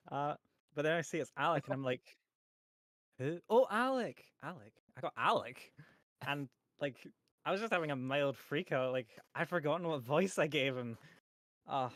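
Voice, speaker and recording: A man with a fairly high voice tells a story in a casual chat. He is animated and acts out the lines he quotes. The recording is dry and close. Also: normal speed, pauses often, no echo. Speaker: voice actor.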